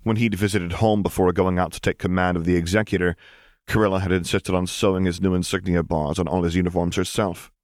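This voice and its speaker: A man's voice: low-pitched, level tone, quick pace, a little mellow, no echo, studio quality. A man reading a story aloud as a scripted audiobook narration. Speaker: audiobook reader of fan fiction.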